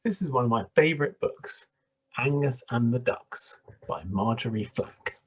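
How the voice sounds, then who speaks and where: A man reading a children's story aloud in an expressive, deliberate manner with dramatic pauses. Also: medium pitch, breathy edge. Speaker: storybook reader.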